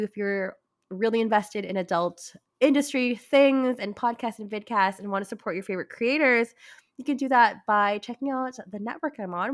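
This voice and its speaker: A woman hosting a casual podcast, her voice raspy and hoarse from a cold, bubbly and chatty with many fillers. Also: medium pitch, a bit bright, no room sound, studio quality. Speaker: podcast host.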